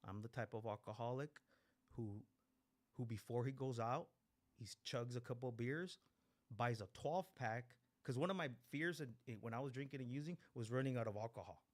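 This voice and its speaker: A man's voice: mid-range voice, fairly quick. A man in his forties from Mexico, raised in East Los Angeles, speaking candidly and profanely as he gives personal testimony at a meeting. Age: 40s. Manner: blunt, profane, repeats phrases for emphasis. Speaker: guest speaker at a recovery meeting.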